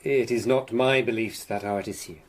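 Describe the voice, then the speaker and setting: An actor playing an educated, reserved Tudor lawyer in radio drama dialogue, speaking formally and with restraint. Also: male voice, mid-range voice, steady tone, fairly quick, slight background noise, natural voice. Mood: firm, professional.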